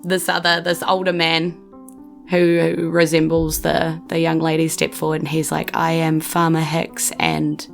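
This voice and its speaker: New Zealand woman GM, low fry-edged voice, halting narration. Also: completely flat delivery, faint music behind. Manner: hesitant, full of fillers and restarts. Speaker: game master. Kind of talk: narrating a tabletop game.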